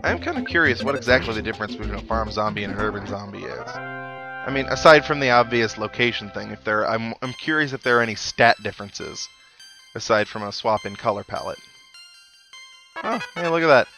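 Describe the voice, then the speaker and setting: A man's voice: lowish voice, expressive, fairly quick, over music. A man giving casual video game commentary as a let's player, with wry, self-deprecating asides.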